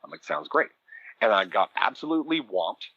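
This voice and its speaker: Canadian male podcast guest, low and husky, animated storyteller, dry but dull lo-fi recording. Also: fairly quick, no room sound. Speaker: podcast guest, audio drama producer. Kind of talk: conversational interview storytelling.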